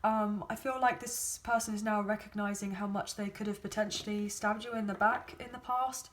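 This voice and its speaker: A woman's voice: mid-range voice, fairly even intonation, moderate pace. A woman gives a chatty, rambling tarot reading, filling it with hedges and ums. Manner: chatty, rambling, hedging with many fillers. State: sore throat, losing her voice. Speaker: tarot card reader. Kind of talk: casual spoken tarot reading.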